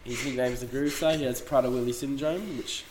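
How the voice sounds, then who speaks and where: A man's voice: medium pitch, fairly even intonation, a little fast, darkish tone, a little hiss or hum. A man in his twenties with an Australian accent, a podcast guest in casual chat, laid-back and a bit mumbly, swearing freely. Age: 20s.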